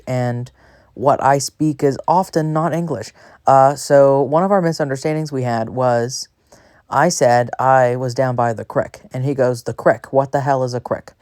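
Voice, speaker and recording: A man's voice: medium pitch, a little mellow, no room sound, clean studio sound. A man rambles casually while playing a video game, chatty, self-deprecating and easily sidetracked. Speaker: gaming video creator. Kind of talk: casual rambling gameplay commentary.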